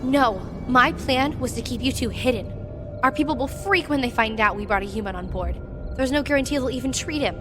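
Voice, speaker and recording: A woman's voice: high-pitched, fairly quick, natural voice. A woman acting in an audio drama as a determined alien ship captain, earnest and decisive. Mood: firm, concerned. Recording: loud music behind the voice.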